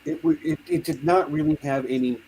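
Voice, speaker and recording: A man in a casual show discussion, talking in a measured, drawn-out way. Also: a little high, gravelly, darkish tone, slight background noise, poor audio. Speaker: podcast co-host. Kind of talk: casual pop-culture chat.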